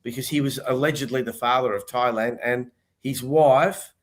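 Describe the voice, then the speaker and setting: A man's voice: mid-range voice, steady tone, normal speed. An older man hosting a casual panel show over a video call, sounding nasal and throaty from a cold, chatty and jokey. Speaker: host.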